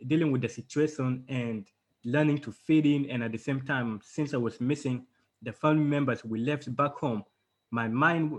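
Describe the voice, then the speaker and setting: A man's voice: medium pitch, breathy edge. A young man from Uganda being interviewed as a guest author, telling his story in a casual, rambling way with many fillers and restarts over a video call.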